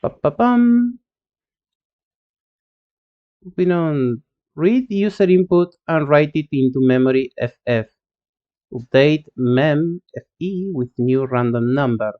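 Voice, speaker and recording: An Argentine-accented man, a native Spanish speaker, streams himself coding and chats with viewers in a slow, unhurried way. His voice is smooth and clear, though the stream audio sounds dull and lo-fi. He talks in halting bits, full of fillers and restarts. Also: on the high side. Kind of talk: live coding stream, reading chat.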